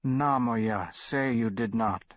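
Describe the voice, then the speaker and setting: Man voicing solemn old shaman, measured, dry dull audiobook recording. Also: mid-range voice, dry recording, lightly acted.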